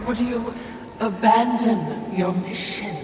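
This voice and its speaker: A woman's voice: on the high side, slow pace. A woman playing a sly, scheming spirit companion in a fantasy game, speaking in an insinuating, mocking way. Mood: sly taunting. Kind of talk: cinematic fantasy game acting. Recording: distant, noisy, echoing reverb.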